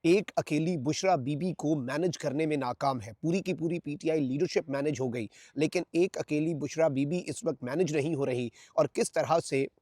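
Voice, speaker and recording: A man's voice: fairly high pitch, normal speed, few pauses, warm tone, dry recording, clean studio sound. A man with a Pakistani accent delivering news commentary to viewers as a channel host, in an emphatic, repetitive way.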